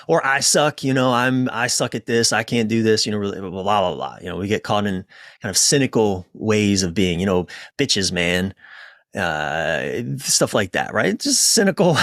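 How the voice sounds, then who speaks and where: A man's voice: lowish voice, a bit bright, no echo. Casual male coach in a dry-sounding interview. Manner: informal, self-interrupting, repeats phrases for emphasis. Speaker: relationship coach, interview guest.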